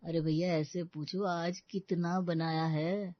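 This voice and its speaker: A woman's voice: lowish voice, little pitch movement, slow pace, no echo, dull audio, pitched below the performer's own voice. A woman voicing an indignant young husband and younger brother, whining and sarcastic in a cartoon comedy. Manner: complaining, sarcastic. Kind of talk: cartoon family comedy acting.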